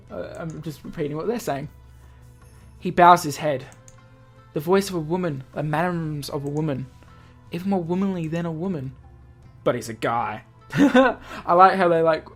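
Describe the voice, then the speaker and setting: A woman doing a casual let's play, reading visual novel text aloud and breaking in with chatty comments of her own. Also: lowish voice. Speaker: gaming video host. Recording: game music behind the voice. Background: video game music.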